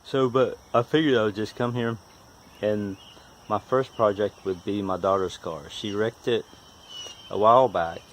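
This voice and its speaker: A man in his forties with a stutter talks casually and haltingly in a folksy, self-deprecating vlog. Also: fairly low pitch, slight background noise. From West Virginia, United States. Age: 40s. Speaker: vlogger, amateur car mechanic. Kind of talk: casual unscripted vlog talk.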